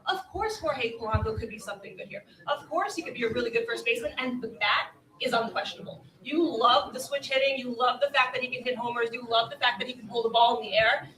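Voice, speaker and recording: A woman's voice: fairly high pitch, quick pace, a bit bright, distant mic. A woman on a live sports panel, debating emphatically and skeptically with repeated phrases and rhetorical questions. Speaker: baseball panelist. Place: live convention panel stage. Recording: echoey room, off mic.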